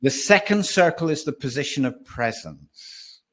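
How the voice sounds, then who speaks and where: A man who works as a voice and presence coach, explaining breathing and voice as a webinar guest. He talks with animation, restarts often and slows down to stress points. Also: on the high side, lively intonation, a bit bright.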